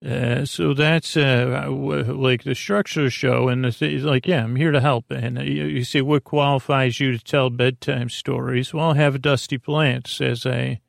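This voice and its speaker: A man with a creaky, gravelly voice hosts a bedtime podcast, rambling in a halting, meandering, whimsical way, repeating himself and trailing off. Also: medium pitch, steady tone, dry recording, studio quality. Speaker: host of a bedtime sleep podcast.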